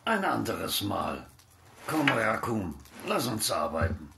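An actor playing a gruff, practical working man in a fantasy audio drama, dry and matter-of-fact. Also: on the low side, wildly swooping pitch, slow, very noisy, exaggerated voice.

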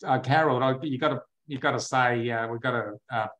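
A man with a mid-pitched voice banters casually and bluntly, with a loose, sweary, joking delivery. There is faint noise and clicking behind him. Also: fairly even intonation, a little fast, fairly bright tone.

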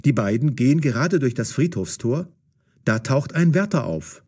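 Casting: male story narrator, mid-pitched, lively melody, carefully enunciated. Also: normal speed, breathy edge.

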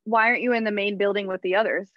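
A woman's voice: mid-range voice, fairly even intonation, racing, dry recording, lightly acted. A woman playing a polite, eager but bewildered new employee in a stage play reading, speaking hesitantly. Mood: taken aback. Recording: muffled, dry video call.

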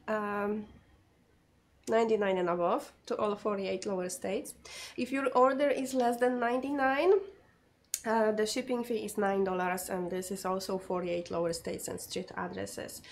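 A woman in her thirties with a raspy voice, hosting a live shopping broadcast from a pottery shop in a chatty, friendly way with many fillers. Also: a little high. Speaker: live sale host. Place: pottery shop with shelves of ceramics.

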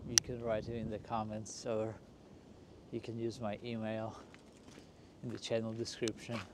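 A man hosting a walking tour in a casual, unscripted way, speaking in halting, broken phrases outdoors on a city street. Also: fairly low pitch, monotone. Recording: noisy outdoor street with traffic. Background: street ambience and passing vehicles.

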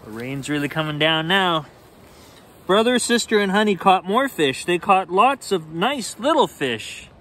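A man in his forties reading a children's picture book aloud expressively, in simple, deliberate phrasing. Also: high voice, big pitch swings, a bit bright. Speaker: storyteller reading children's bedtime stories. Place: outdoors on a rainy forested shore. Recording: outdoor ambient noise.

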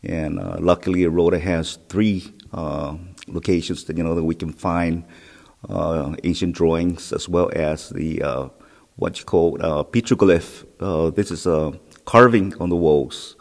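A middle-aged man explaining a site, speaking haltingly with many fillers, recorded inside a cave. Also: low-pitched, fairly even intonation, creaky. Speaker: historic preservation officer. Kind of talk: on-site interview. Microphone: handheld mic held close. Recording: long cave reverb.

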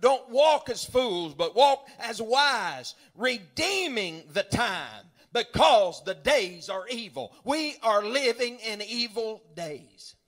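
A middle-aged preacher man with a strikingly high-pitched voice. He talks in a folksy, rambling way, repeating words as he gathers steam, and scatters hallelujahs and amens throughout as he builds to shouting. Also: sing-song, slow pace, hardly any pauses. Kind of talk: sermon.

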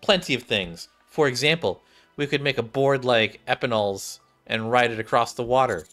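A man's voice: medium pitch, normal speed, fairly bright tone. Young man reading game dialogue over music. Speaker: game streamer reading visual novel dialogue aloud. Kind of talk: read-aloud of game dialogue. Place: small indoor room.

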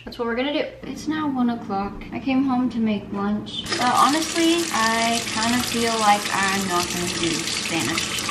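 A young American woman talks casually to a camera in her apartment. Her delivery is candid and rambling, full of slang. The room echoes around her voice, and clear background noise sits behind it. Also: medium pitch. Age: 20s. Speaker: vlogger, nursing student. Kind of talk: casual chatty vlog. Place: small apartment kitchen and bedroom, indoors. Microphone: camera at arm's length or on counter.

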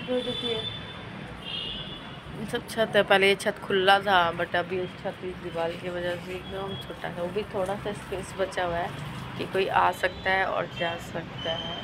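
A hoarse young woman chats to her viewers while she walks around the house holding her phone at arm's length. Clear background noise runs under her relaxed, chatty voice. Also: lowish voice, unhurried. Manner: chatty, walking and filming herself while doing chores. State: hoarse sore throat. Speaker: vlogger. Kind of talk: casual vlog chat. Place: home kitchen and open rooftop. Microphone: phone held at arm's length.

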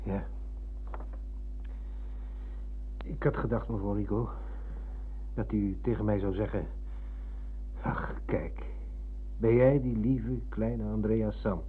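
Radio play: actor as wistful former pupil, lowish voice, earnest and emphatic, thin humming old recording. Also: male voice, steady tone, measured pace, narrow, tinny audio, slight background noise, natural voice. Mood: teasing, insistent. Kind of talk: radio drama acting.

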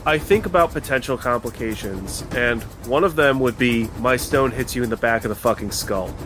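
A man's voice: medium pitch, normal speed. A man playing a tabletop role-playing game, talking casually with a wry, sweary edge. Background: prominent background music.

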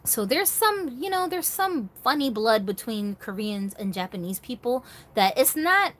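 A woman's voice: fairly high pitch, big pitch swings, a little slow, fairly bright tone. A woman hosting a vlog, talking casually and emphatically to viewers, often checking that they follow her. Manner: emphatic, conversational, seeks agreement with rhetorical questions. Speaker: host of a doll collectors' channel.

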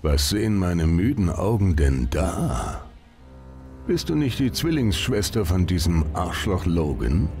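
A man's voice: deep voice, sing-song, measured pace, warm tone, faint music behind, exaggerated voice. A man playing a mocking, foul-mouthed gang boss in a game, with a condescending, sardonic drawl. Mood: mocking, contemptuous.

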